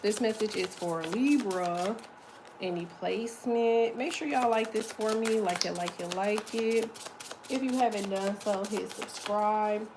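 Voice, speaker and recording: A woman with a deep voice giving a casual, chatty tarot reading, swearing freely as she talks. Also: unhurried, background noise. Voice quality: deep, slightly muffled voice. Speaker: tarot reader.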